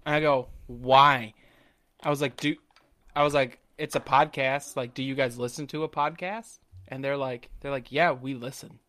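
A man's voice: medium pitch, normal speed. A man with a Southern accent, co-hosting a podcast, telling casual stories full of swearing and acted-out quotes. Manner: animated storyteller who acts out quotes. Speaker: podcast co-host. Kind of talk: casual profane chat.

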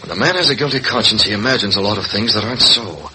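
An actor playing a resolute masked crime-fighter in a 1940s radio drama, with clipped, commanding delivery. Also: male voice, lowish voice, animated, quick pace, natural voice. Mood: intent. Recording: noisy vintage radio recording.

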